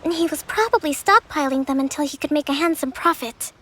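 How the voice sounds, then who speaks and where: A woman voicing a composed young female strategist and priestess in video game dialogue, measured and analytical. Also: sits high, a bit bright, a little hiss or hum. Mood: pensive. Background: game music.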